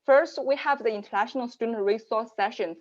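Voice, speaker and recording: A woman who manages global programs, hosting a virtual information session and walking through resources in a measured way with frequent fillers. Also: on the high side, muffled recording. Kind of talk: online info session presentation. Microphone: video call.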